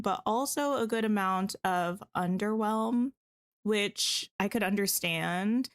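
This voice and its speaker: A woman live-streaming a game, chatting casually and answering her viewers, her voice slightly scratchy. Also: medium pitch. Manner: chatty, greets and answers viewers, thinks aloud. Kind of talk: casual live-stream chat while playing.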